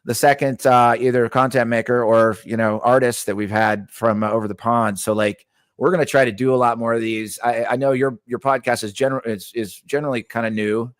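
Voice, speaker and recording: A man's voice: mid-range voice, steady tone, fairly quick, no room sound, studio quality. Laid-back, rambling male podcast host. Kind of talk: casual interview talk.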